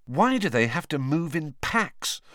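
A man's voice: fairly high pitch, sing-song, measured pace, fairly bright tone, no echo, studio quality, voice pushed up. A man voicing a reluctant, flustered teenage schoolboy in an audiobook, blurting out his protests. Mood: baffled.

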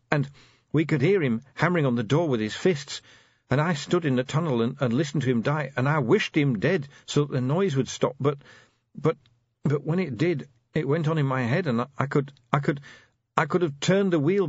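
A man voicing a timid, haunted young male dwarf who speaks in a small halting voice. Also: medium pitch, husky, no room sound, highs cut off.

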